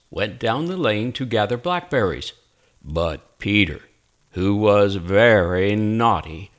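A man reading a children's story aloud as an expressive narrator, with deliberate, dramatic phrasing. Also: mid-range voice.

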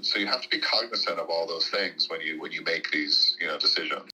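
Deep, husky American man with sharp edge. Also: fairly quick, poor audio. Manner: hedging, peppered with "you know". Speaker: school district official being interviewed. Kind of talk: unscripted interview answers.

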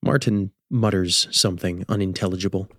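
A man hosting and narrating a radio drama as a measured, scripted storyteller. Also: low voice, fairly even intonation. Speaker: host and narrator.

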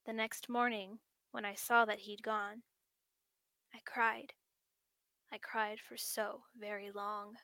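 A woman's voice: medium pitch, little pitch movement, takes it slowly, long pauses, sharp tone, dry recording, studio quality. A woman co-hosting a fiction podcast, narrating a story and then chatting about it in an earnest, thoughtful way. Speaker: podcast co-host and story reader. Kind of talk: story narration and casual chat.

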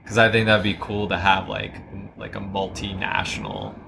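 Laid-back low-voiced male interviewer. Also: level tone, normal speed, vocal fry, bright, forceful tone, a little hiss or hum. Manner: laid-back, slangy, short agreeing replies. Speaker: interviewer, host. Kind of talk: casual chat.

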